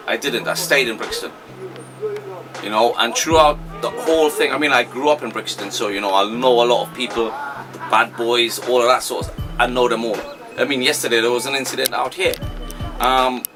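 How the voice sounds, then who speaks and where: A man in his forties from Trinidad, a shop owner giving earnest, rueful interview answers full of fillers. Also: mid-range voice. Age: 40s.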